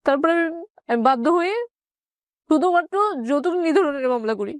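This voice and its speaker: A woman with a Bangladeshi accent telling her personal story in an interview, haltingly and with repeated phrases. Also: high voice, expressive, dry recording.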